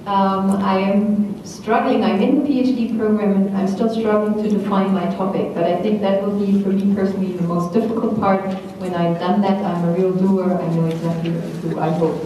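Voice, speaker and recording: A woman's voice: medium pitch, steady tone, fairly quick, mellow, highs cut off, a little hiss or hum. A woman from Germany introducing herself as a doctoral student, frank and a little self-deprecating. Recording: echoey room, distant mic.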